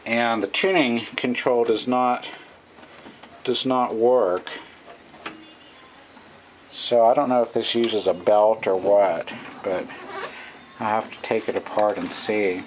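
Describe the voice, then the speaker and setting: A man's voice: on the low side, steady tone, slow pace, pauses often. A middle-aged man giving a casual, off-the-cuff hobbyist walkthrough, hesitant and thinking aloud, recorded on a camera's own microphone. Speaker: hobbyist vintage radio restorer. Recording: noisy amateur camcorder recording.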